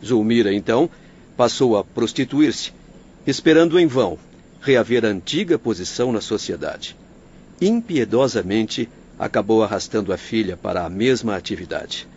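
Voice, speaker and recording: An actor playing a compassionate doctor who explains solemnly and oratorically in an audio drama. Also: male voice, on the low side, animated, normal speed, a little mellow. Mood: solemn explaining. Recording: dull lo-fi audio, faint noise.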